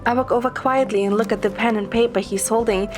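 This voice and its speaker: A woman's voice: a little high, fairly even intonation, fast. A woman narrating a scripted story in the first person. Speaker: story narrator, amateur voice performer. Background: clear background music.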